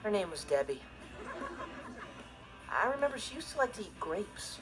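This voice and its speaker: A man's voice: high voice, very animated, moderate pace, rough recording. A young male actor playing a laid-back, irresponsible teenage stepbrother, telling stories with offhand comic delivery in a TV sitcom. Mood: wistful. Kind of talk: scripted comic monologue in a TV sitcom. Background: loud music and laughter.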